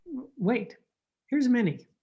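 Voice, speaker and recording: A dull, muffled recording of a husky male voice, an actor playing a mild husband in an old-time radio drama. He reasons calmly and reassures, in a very mellow tone. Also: very high voice, slow pace, heavily acted. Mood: hushed. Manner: calm, reasoning. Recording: dull, muffled home connection.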